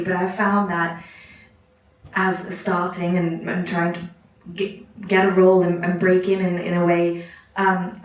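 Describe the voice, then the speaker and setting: A young woman from Belgium asking a question from the audience, halting and earnest. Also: fairly low pitch, far from the mic. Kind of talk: public Q&A question. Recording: very echoey hall from afar.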